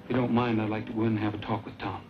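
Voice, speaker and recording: An actor playing a steady, determined cowboy hero in an old western, plain-spoken and firm. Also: male voice, lowish voice, rapid-fire, music in the background, lightly acted. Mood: earnest, steady. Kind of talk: old western film acting. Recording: muffled old film soundtrack, clear noise.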